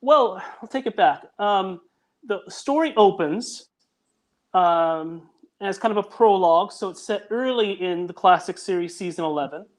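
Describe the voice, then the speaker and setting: A man's voice: high-pitched, lively intonation, measured pace. A man who writes audio dramas, talking as a guest on a video call and thinking aloud while he explains his story. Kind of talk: casual video chat.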